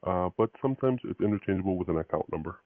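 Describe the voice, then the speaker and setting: On a dull phone recording, a man with a deep voice talks quickly. He sounds like an informal, accommodating sales agent on a call. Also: lively intonation, no echo. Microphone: phone line. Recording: thin, band-limited phone call recording.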